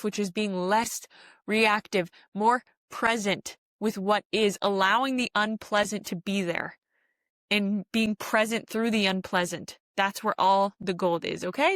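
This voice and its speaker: A woman's voice: medium pitch, a bit bright, no room sound. A woman hosting a spiritual self-help podcast, speaking earnestly and urging the listener on, often repeating phrases for emphasis. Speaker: podcast host.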